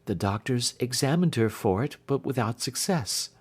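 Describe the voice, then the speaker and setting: A troubled young gentlewoman of a Victorian storybook , voiced by a man reading aloud. She speaks earnestly and formally, carefully laying out her account. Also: mid-range voice, expressive, normal speed, breathy edge. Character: Helen, distressed young gentlewoman recounting her sister's death.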